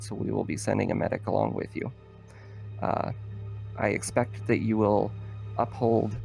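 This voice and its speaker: A man's voice: lowish voice, creaky, dark tone. A man voicing a stern, no-nonsense woman mayor who gives orders formally in a tabletop game. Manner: brisk, formal, giving orders.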